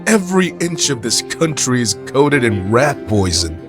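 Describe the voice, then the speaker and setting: Sneering anime villain, drawn-out taunts, wildly swinging pitch, music behind. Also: male voice, sing-song. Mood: mocking menace. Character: sneering, menacing male heir of a powerful family. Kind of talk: anime fandub radio play acting.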